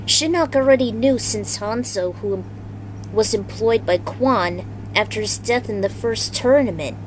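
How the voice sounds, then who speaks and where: A woman's voice: fairly high pitch, a little slow, a little hiss or hum, rough recording. A woman reading a dramatic fantasy story aloud as its narrator, in an amateur recording. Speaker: fan fiction reader. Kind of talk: story narration with character voices.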